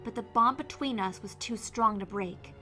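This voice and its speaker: A woman's voice: medium pitch, bright, forceful tone, lightly acted. A woman voicing an earnest, studious young pony heroine in a scripted audio drama. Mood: solemn. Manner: earnest, measured storytelling. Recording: music behind the voice.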